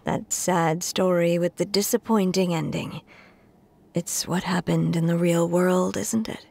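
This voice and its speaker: A woman voicing a gentle, loving storyteller in a video game, with a soft, breathy, tender delivery. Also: lowish voice, steady tone, a little slow. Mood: earnest, hopeful. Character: gentle, loving woman storyteller, short-lived creator of a child.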